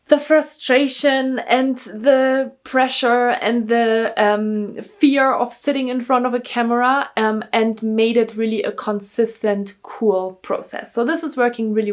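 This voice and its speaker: A young German woman whose first language is German, chatting upbeat to camera in her vlog with a German accent, repeating words for emphasis. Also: fairly high pitch. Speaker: YouTuber. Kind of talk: casual talking-to-camera vlog. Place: indoors, seated in front of a camera.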